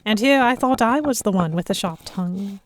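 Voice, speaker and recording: A woman playing a sharp-tongued young lady hostage among pirates, narrating her own story in an expressive, introspective way. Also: mid-range voice, fairly quick, slight background noise, natural voice. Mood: amused. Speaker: narrator and lead character. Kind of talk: first-person audio drama narration.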